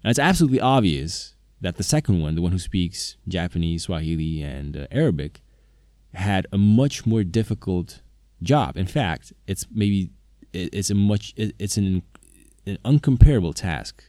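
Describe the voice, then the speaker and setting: Low-voiced man with a Slovak first language. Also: very animated, normal speed, mellow, dry recording, studio quality. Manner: hesitant, many fillers and restarts. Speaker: polyglot video maker, interpreter. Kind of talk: casual talk to camera.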